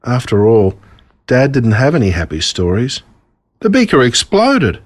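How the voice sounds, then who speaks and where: An Australian man reading a scripted children's storybook in a dramatic, deliberate way, relishing the gruesome parts. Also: medium pitch, huge pitch swings. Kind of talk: scripted storybook narration told as a boy.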